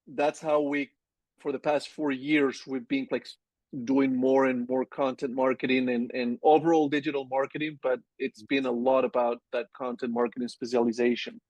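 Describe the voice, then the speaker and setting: A man in his forties from Colombia with a Colombian Spanish accent, a business founder talking casually in an interview with a relaxed, rambling manner and many restarts. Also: medium pitch, moderate pace, dry recording. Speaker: agency founder guest.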